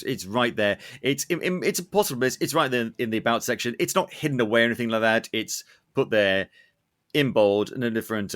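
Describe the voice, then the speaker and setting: A man hosting a casual podcast interview, talking in an earnest, rambling, animated way. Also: lowish voice. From Scotland, UK. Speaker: podcast host. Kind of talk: casual interview chat, opinionated.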